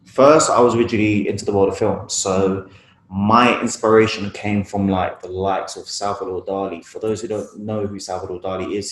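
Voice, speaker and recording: A young man with a London accent talking casually and informally about his work as a photographer in an interview. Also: on the low side. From East London, UK. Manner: informal, slangy. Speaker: guest photographer. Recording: roomy, echoey room sound.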